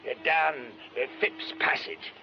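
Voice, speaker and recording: A cheerfully familiar working-class London caretaker in a radio drama. His Cockney voice swoops wildly and crackles with gravel. The recording is dull, with faint noise. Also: on the high side, big pitch swings, unhurried, over music, heavily acted. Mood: chatty, familiar. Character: chatty working-class caretaker, a former lighterman.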